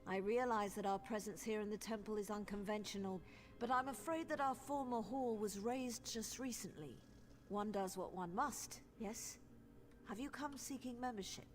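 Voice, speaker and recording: A woman's voice: medium pitch, normal speed, lightly acted. Woman playing a gracious, formal guild mage. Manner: polite, formal. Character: gracious, formal female mages guild official greeting a newcomer. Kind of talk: fantasy video game voice acting.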